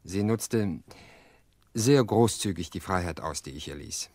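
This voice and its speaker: A low, deep voice, close and dry, for a middle-aged widower in an audio drama. He speaks earnestly and wearily, weighing his words with reflective pauses. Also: lively intonation, a little mellow, dry recording. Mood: bitter, reproachful. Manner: measured, reflective, hesitant pauses. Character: weary, earnest widower confessing to his sister-in-law. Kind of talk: radio play dialogue.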